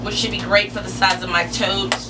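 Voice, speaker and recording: A woman hosting an informal step-by-step how-to demonstration in a deliberate, instructional way, picked up by a camera a few metres away. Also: mid-range voice, level tone. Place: enclosed porch or sunroom. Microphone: camera mic a few metres away. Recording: distant mic, clearly noisy with background hum.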